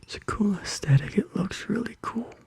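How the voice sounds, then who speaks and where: An ASMR host whispering softly and casually in a gentle, reassuring manner, close to the microphone. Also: medium pitch, lively intonation, normal speed. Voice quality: breathy whisper. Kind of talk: soft-spoken whispered ASMR. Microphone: close studio microphone.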